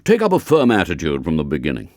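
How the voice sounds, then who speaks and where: A man voicing a courteous, fatherly old English headmaster giving measured advice, in an audiobook. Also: extremely low pitch, huge pitch swings, darkish tone, no room sound, studio quality, full character voice.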